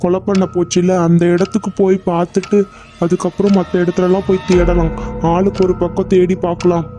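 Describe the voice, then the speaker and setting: A performer voicing a worried, practical husband in a cartoon, speaking in a flat, plain manner with a Tamil accent. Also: medium pitch, fairly quick, music in the background, close to an everyday voice. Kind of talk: cartoon voice acting.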